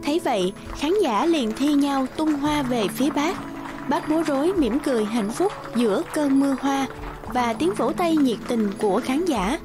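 A woman's voice: high-pitched, lively intonation, moderate pace, over music. A woman narrating a scripted fairy tale for children.

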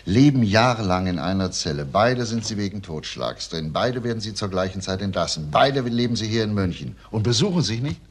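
An actor playing a shrewd, provocative police detective in a crime drama, asking probing, insistent questions with pointed pauses. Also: male voice, fairly low pitch, animated, close to an everyday voice. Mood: inquiring, puzzled. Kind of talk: German TV crime drama acting.

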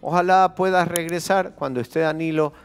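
A man's voice: a little high, moderate pace. A man in his forties hosting a casual studio talk show, playful and joking as he steers the chat. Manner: playful, joking, wraps up segment. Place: TV studio set.

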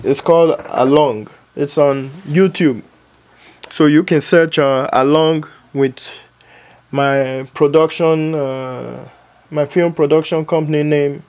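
A man's voice: on the high side, darkish tone. A man in his thirties talking casually to camera in his home editing studio, thinking aloud with plenty of fillers. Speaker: vlogger and filmmaker. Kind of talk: casual vlog talk.

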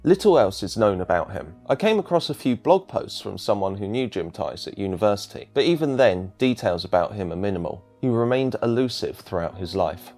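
A man narrates a scripted video essay. His voice sits a little low and moves with very animated intonation. Faint music plays behind him. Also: a little fast, few pauses.

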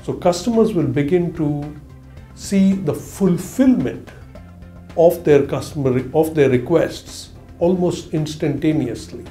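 Slow, halting, mellow middle-aged man. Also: a little high. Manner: halting, careful phrasing. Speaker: bank technology executive. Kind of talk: interview answers. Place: small office, indoors. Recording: roomy echo, music underneath.

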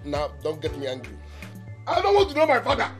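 A man's voice: high-pitched, wildly swooping pitch, quick pace, soft background music. A young man with a Nigerian accent playing a defiant son who argues with his mother, exasperated and mixing in Pidgin and Igbo phrases. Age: young adult.

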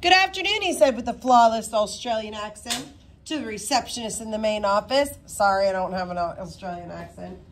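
A woman reading a children's novel aloud in an expressive, informal way, sometimes stumbling over words. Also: medium pitch, fairly quick, a little hiss or hum. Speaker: reader of a children's book.

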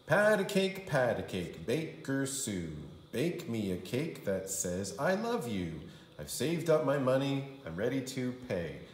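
Male kindergarten teacher for children's rhyme read-aloud: theatrical and sing-song, swooping pitch, recorded in a roomy space. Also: sing-song, unhurried. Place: indoor room. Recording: roomy indoor echo.

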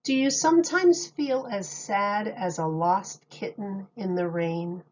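A woman reads a children's picture book at an unhurried, slow pace. She speaks like a teacher, in simple phrases with questions to little listeners. The recording is slightly dull and lo-fi. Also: mid-range voice, darkish tone. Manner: simple, deliberate phrasing addressed to small children. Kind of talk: children's read-aloud with questions to young listeners.

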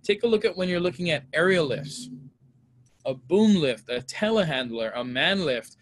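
A man's voice: on the high side, moderate pace, a little hiss or hum. Easygoing man teaching a safety course. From Hawaii. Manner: relaxed, conversational, plain-spoken. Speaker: safety instructor. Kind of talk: online training lecture. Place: small room at home, indoors. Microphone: webcam on a video call.

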